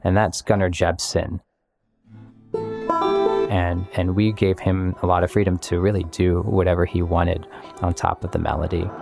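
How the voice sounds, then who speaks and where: Man, deep voice, creaky, thoughtful musician's monologue, soft guitar music behind. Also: little pitch movement, normal speed, long pauses. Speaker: musician and composer. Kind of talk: reflective interview monologue about making music. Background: guitar-led instrumental music.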